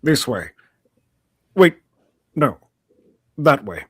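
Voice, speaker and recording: A man's voice: medium pitch, sing-song, very slow, a bit bright, breathy edge, no echo, exaggerated voice. A man playing a grumpy, disdainful veteran actor with a curt, dry delivery in a live script read. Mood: sardonic.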